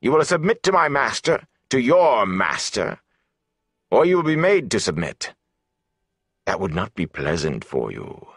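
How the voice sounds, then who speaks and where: A man voicing a sleek, self-important, menacing servant of a dark lord in an audiobook, drawing out words to coax and command. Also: deep voice, dry recording, studio quality, full character voice. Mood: commanding, impatient. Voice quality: sleek, thick. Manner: drawn-out, coaxing then commanding. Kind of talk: audiobook villain dialogue.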